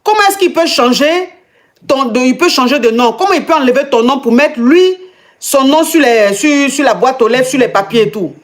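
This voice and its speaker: A woman's voice: high voice, normal speed. A woman with an Ivorian French accent hosts a live call-in advice chat, asking many questions and stammering repeats in disbelief. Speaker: host and coach.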